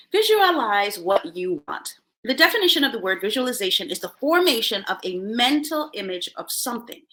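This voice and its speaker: A middle-aged woman hosting a self-help show, reading aloud and commenting in an emphatic motivational style with deliberate pauses. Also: fairly high pitch, a bit bright. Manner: emphatic, dramatic pauses, chuckles between phrases.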